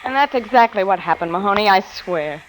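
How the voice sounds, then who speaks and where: A woman's voice: mid-range voice, lively intonation, a little fast, background noise, natural voice. An actress playing a frightened but tough-talking young woman in a vintage radio mystery, slangy, sardonic and emotive. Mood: wary. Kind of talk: 1940s radio acting, first-person narration and dialogue. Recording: vintage radio drama with music behind.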